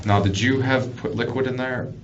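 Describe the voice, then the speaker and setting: A man questioning someone as a police detective, speaking haltingly and often repeating himself. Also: mid-range voice, fairly even intonation. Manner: halting, repetitive questioning with frequent acknowledgements. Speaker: police detective interviewing a suspect. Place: indoor police interview room. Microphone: distant room microphone. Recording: distant, muffled, noisy interview recording.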